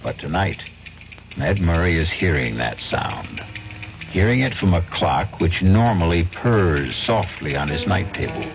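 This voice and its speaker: A man hosting a 1970s radio mystery, narrating in a measured, wry, suspenseful way. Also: sits low, expressive, gravelly, background noise. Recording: dull old radio broadcast. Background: dramatic music.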